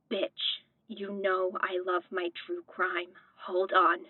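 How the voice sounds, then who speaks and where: An actress playing a squeamish, playful young rink worker in a comedy audio drama. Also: female voice, mid-range voice, fairly even intonation, very slow, husky, dry recording, phone-quality sound. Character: young woman rink worker, squeamish true-crime fan, playful friend.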